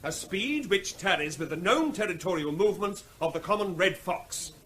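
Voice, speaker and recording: A man's voice: high-pitched, animated, normal speed, a bit bright, slight background noise. An actor in a radio play voicing a stern male official, speaking formally and insistently as if to a public meeting. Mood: firm, insistent. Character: stern male official running rabies control briefing.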